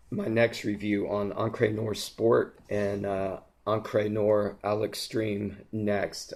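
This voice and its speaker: Hoarse male vlogger, low and fairly monotone, enthusiastic rambling reviewer full of ums. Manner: gushing, rambling, lots of ums and restarts. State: hoarse, losing his voice. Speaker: fragrance reviewer. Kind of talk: casual product review vlog.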